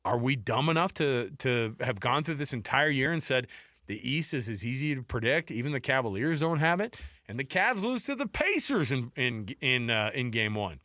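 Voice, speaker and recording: An American man co-hosting an unscripted sports talk show, opinionated and animated, often restarting mid-thought and throwing out rhetorical questions. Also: medium pitch, moderate pace, no echo, clean studio sound. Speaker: sports radio co-host.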